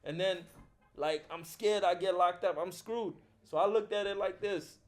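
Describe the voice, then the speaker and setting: A man's voice: high voice, normal speed. A middle-aged man, a film producer talking casually and reflectively as an interview guest, using street slang. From Haiti.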